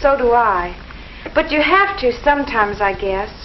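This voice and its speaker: Careful gentle teen girl, fairly high voice, muffled 50s film audio w hiss. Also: lively intonation, measured pace. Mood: worried. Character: cautious, sensible teenage girl. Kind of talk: 1950s educational film acting.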